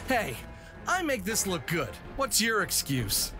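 A man playing a quick-witted, confident fox adventurer, casual and wisecracking, in an audio drama. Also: sits high, huge pitch swings, music in the background, exaggerated voice. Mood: urgent, cocky. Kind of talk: animated audio drama acting.

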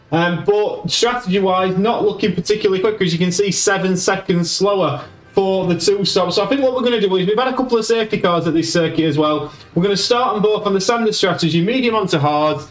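A man's voice: high voice, roomy sound, far from the mic, low-quality recording. A man with a Yorkshire accent, a gaming YouTuber giving chatty play-by-play of a racing management game. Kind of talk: game commentary.

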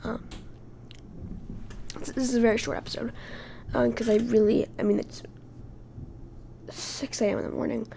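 A woman hosting her own podcast, speaking casually while hoarse from losing her voice, halting and often dropping to a breathy whisper. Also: on the high side, little pitch movement, mellow. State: hoarse, lost voice. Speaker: podcast host. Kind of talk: casual solo podcast talk.

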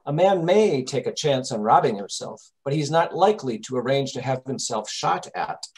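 A man playing a calm gentleman detective in an old-time radio drama, measured, reassuring and authoritative. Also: mid-range voice, natural voice. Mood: explaining.

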